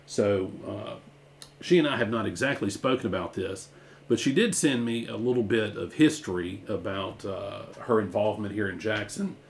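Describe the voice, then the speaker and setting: A man hosting a podcast, talking in a halting way with frequent fillers. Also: on the low side, a little hiss or hum. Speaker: podcast host.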